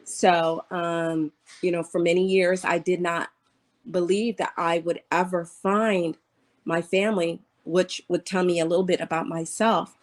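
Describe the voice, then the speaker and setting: A woman's voice: lowish voice, fairly even intonation. Earnest woman in her fifties. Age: 50s. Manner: earnest, halting, with many fillers. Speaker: interview guest, adoptee. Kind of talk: personal storytelling in an interview.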